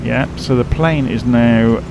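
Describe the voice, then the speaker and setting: A man streaming a flight simulator, explaining cockpit instruments in a rambling, self-correcting way, his voice croaky and tired from a late night. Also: mid-range voice, slow pace, background noise. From England. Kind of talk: casual live-stream commentary.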